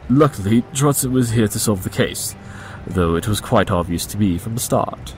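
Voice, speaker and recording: An actor playing a brilliant, smug pony detective, speaking in a measured, condescending manner in an audio drama. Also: male voice, on the low side, expressive, a little hiss or hum, natural voice. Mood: smugly pleased.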